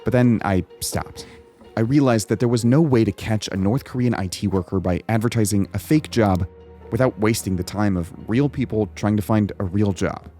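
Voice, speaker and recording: A man tells his own investigation as documentary narration, calm and measured. His voice sits low with a mellow, dark tone. Soft music plays quietly behind him. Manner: measured, reflective first-person storytelling. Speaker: host and narrator.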